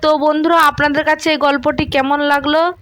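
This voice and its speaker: Female storyteller, very high voice, scripted romantic narration with soft music behind. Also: flat delivery, brisk.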